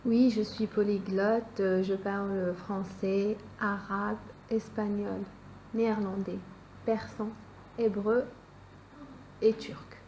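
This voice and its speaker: A woman's voice: mid-range voice, flat delivery, takes it slowly, dark tone, slight background noise, low-quality recording. A woman in her twenties from France, giving short plain interview answers with occasional fillers. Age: 20s.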